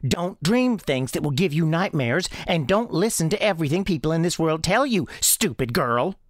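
A performer voicing an angry, defiant young girl with a Southern US accent and sharp, folksy speech, in an audio drama. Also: mid-range voice, animated, normal speed, no echo, clean studio sound, pitched above the performer's own voice, lightly acted. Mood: angry, taunting.

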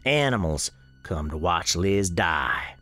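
Folksy southern storekeeper narrating spooky radio play, unhurried and ominous, music behind. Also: male voice, deep voice, sing-song, sharp tone, dry recording, full character voice. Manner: measured, ominous storytelling. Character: folksy, grave storekeeper narrating an eerie ghost tale. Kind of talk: scripted dramatic narration, radio drama.

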